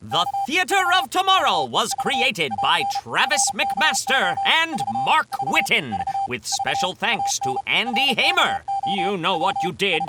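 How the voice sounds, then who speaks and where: A woman announces in a scripted, old-time radio style. Her pitch moves a great deal, and loud music plays behind her throughout.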